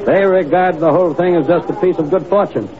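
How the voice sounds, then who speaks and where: A man's voice: high voice, fast, background noise, close to an everyday voice. A man playing a dutiful police inspector in an old radio drama, reporting in a precise, formal way. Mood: formal, grave. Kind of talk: 1950s radio drama acting. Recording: muffled old radio recording, background crowd.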